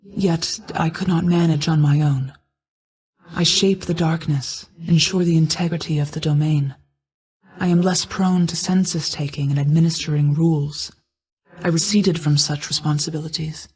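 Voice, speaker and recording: A woman voicing an ancient, stately goddess of night in a video game, a stern but caring mother who speaks in a formal, measured, regal way. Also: deep voice, fairly even intonation, a little mellow, lightly acted, low-quality recording. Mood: solemn, reflective.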